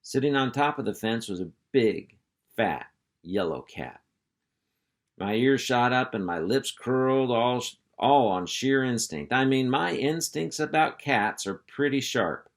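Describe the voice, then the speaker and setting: A man, a school superintendent, reading a children's storybook aloud in a folksy, expressive way. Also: mid-range voice, measured pace. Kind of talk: storybook read-aloud narration.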